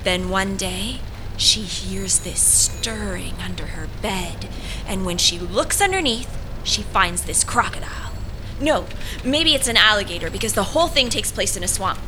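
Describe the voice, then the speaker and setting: A woman's voice: medium pitch, lively intonation, unhurried, noisy background, close to an everyday voice. A woman playing an intense, needy, eccentric would-be writer in an audio drama, with an earnest, dramatic, insistent delivery. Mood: earnest, rambling.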